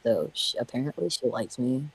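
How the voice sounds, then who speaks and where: Husky teen boy telling a story. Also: medium pitch, lively intonation, normal speed, low-quality recording. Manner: hesitant, awkward storyteller. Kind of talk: casual storytelling.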